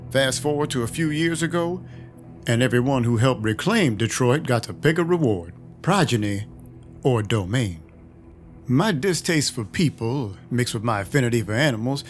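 An actor playing a world-weary vampire detective, narrating an audio drama in dry, deadpan noir style. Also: male voice, medium pitch, lightly acted. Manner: wry, deadpan. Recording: music playing behind the voice. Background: background music.

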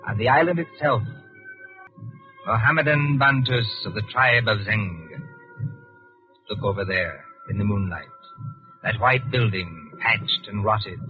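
An actor as a grave storyteller narrating a 1940s radio drama in a measured, dramatic, atmospheric way. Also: male voice, fairly low pitch, faint music behind, close to an everyday voice. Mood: hushed, atmospheric. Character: grave storyteller narrator setting an exotic, ominous scene. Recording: muffled old 1940s radio recording.

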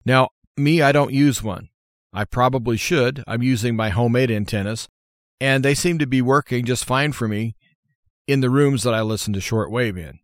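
A man's voice: medium pitch, normal speed, no room sound, studio quality. An American man hosting a show, giving informal how-to advice in a plainspoken, folksy, explanatory way. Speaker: radio show host.

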